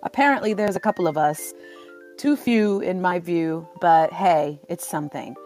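Mellow, low-pitched woman moderating a panel. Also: normal speed. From Sudan. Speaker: panel moderator, journalist and podcast host. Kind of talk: conversational live panel hosting. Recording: live audio-app chat, faint music.

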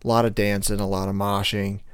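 A male podcast host with a slightly hoarse voice, talking casually in a rambling way with many fillers. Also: fairly low pitch, little pitch movement, a little fast, dry recording. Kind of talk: casual solo podcast intro.

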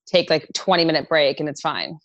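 A young woman talks as a guest in relaxed chat, peppering her sentences with "like" and casual swearing. Her voice sits on the low side. The sound is close and dry. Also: fairly quick, no room sound, dull audio. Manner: chatty, swears freely, many "like" fillers.

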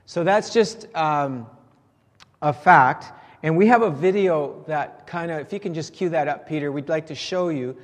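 A man's voice: a little high, measured pace. A man preaching to a congregation, explaining points with fillers and restarts. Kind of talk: sermon to a congregation. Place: church sanctuary. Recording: reverberant church hall.

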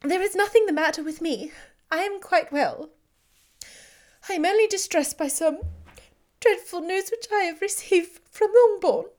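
A woman's voice: very high voice, very fast, pauses often, a bit bright, voice pushed up. A woman reading the part of a distraught young woman in an audiobook, agitated, pleading and close to tears. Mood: tearful.

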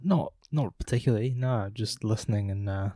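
Low-voiced man casually telling a story. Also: a little fast, warm tone, no room sound, studio quality. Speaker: podcast co-host. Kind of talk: casual discussion.

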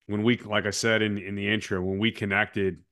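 A man's voice: on the low side, flat delivery, a little fast, fairly bright tone. A man hosting a conversational interview, speaking in an earnest, motivational way. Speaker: podcast host and coach.

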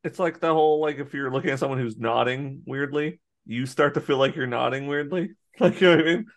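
Casual American podcast co-host, gravelly voice, laughs through rambling anecdotes. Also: male voice, medium pitch, a little fast. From United States. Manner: laughs often, rambling storytelling with restarts. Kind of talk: casual chat.

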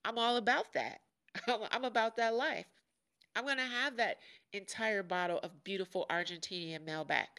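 Podcast host, woman in her 40s from Chicago; deep nasal tone, sharp edge, frank and joking. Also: normal speed. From Chicago, USA. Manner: frank and joking, laughs often. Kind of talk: casual candid monologue.

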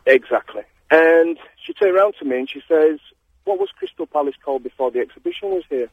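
A man's voice: a little high, lively intonation, quick pace, husky, no echo. A man with a Yorkshire accent, a caller to a radio phone-in, chatty and eager as he tells his story. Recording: tinny phone line.

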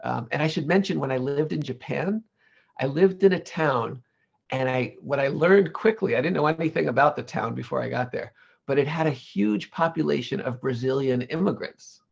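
A man's voice: medium pitch, moderate pace, creaky, husky, highs cut off. A man being interviewed about his career, talking in a thoughtful way with many restarts and self-corrections. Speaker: interview guest, legal English lecturer. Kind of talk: relaxed conversational interview.

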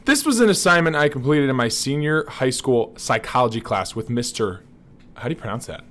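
A man's voice: medium pitch, lively intonation, brisk, a bit bright. A young man hosting a casual podcast chat with his roommates, talking animatedly and laughing often. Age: young adult. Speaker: podcast host.

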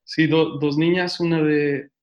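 A man's voice: on the high side, level tone, measured pace, dull audio. A man with a Mexican accent, chatting casually as an interview guest. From Mexico City, Mexico. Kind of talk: casual chat. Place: at home.

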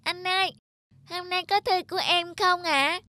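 A performer voicing a small, innocent, wistful child in an animated folk tale, polite and earnest in manner. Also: extremely high pitch, moderate pace, no echo. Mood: eager.

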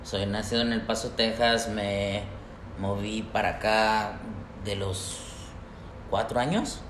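A young man from the United States with a Mexican-American Spanglish accent, co-hosting a casual podcast in halting Spanish full of fillers and English words. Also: lowish voice, steady tone. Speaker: podcast co-host. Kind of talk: casual bilingual chat. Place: workplace. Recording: roomy echo, faint background noise.

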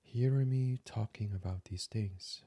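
A man with a deep, breathy voice leads a bedtime relaxation in ASMR style. He speaks very slowly and softly, often dropping almost to a whisper. The sound is close and dry, with no room around it. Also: no echo. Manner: hushed, soothing delivery slipping into near-whisper. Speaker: meditation podcast host. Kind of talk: guided sleep meditation, ASMR.

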